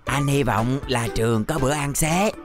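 A man's voice: medium pitch, normal speed, close to an everyday voice. Man playing a mischievous cartoon big brother. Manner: mischievous, mocking. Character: teasing big-brother schoolboy, a cartoon school-supply creature. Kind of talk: children's cartoon acting.

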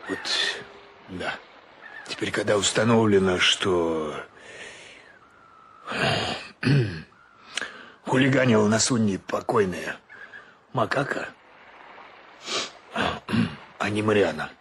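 A flustered, fatherly sea captain from a radio play. His voice is on the low side and he stumbles and repeats himself like a well-meaning uncle. The recording has clear background noise. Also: animated, slow, pauses often, fairly bright tone, exaggerated voice. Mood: hesitant, puzzled. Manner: hesitant, repeating words. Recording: noisy radio drama recording.

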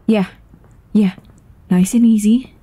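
Lady acting out bossy sweary woman in an audio drama, slow talking, soft mellow tone, urgent. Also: mid-range voice, fairly even intonation. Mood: cold, threatening. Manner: swearing, urgent, breathy sighs and stammers. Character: tense, foul-mouthed, commanding woman with a secret. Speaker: voice actor in an audio roleplay. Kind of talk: dramatic ASMR roleplay acting.

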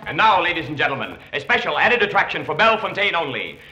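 An actor playing a bombastic stage announcer in a 1930s film, declaiming grandly over an old film soundtrack. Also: male voice, fairly high pitch, sing-song, fast, bright, forceful tone, roomy sound, dull audio, heavily acted. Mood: grandiose. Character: bombastic stage announcer introducing a variety act.

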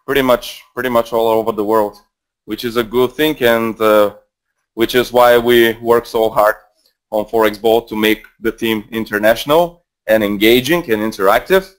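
A man's voice: lowish voice, fairly even intonation, moderate pace. A Bulgarian man in his twenties with a Bulgarian accent, presenting a live trading webinar in a casual, rambling way full of fillers and restarts, speaking into a headset mic. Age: 20s. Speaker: trading mentor.

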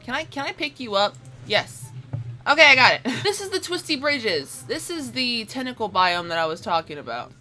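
Bright-voiced young woman chatting through a game. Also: on the high side, moderate pace, faint music behind. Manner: animated self-talk, playful exclamations and slang. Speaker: gaming video host. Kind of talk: casual let's play commentary with facecam. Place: small room indoors at home. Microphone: separate mic with phone as backup.

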